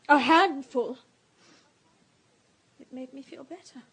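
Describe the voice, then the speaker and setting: An actress in a radio drama playing a distraught, self-pitying society woman, halting and stammering with emotion. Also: high-pitched, a little hiss or hum, low-quality recording. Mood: despairing, tearful.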